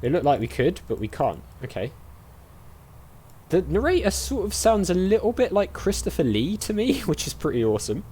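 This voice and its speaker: A man's voice: high voice, sing-song, normal speed, mellow, a little hiss or hum. A man hosting a gaming video, giving casual let's play commentary and reading dialogue options aloud.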